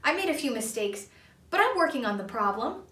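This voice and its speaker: Woman as a high, apologetic phone guy. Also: sing-song, brisk, a bit bright, roomy sound, distant mic. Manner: polite, apologetic. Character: Marvin, apologetic male phone repairman.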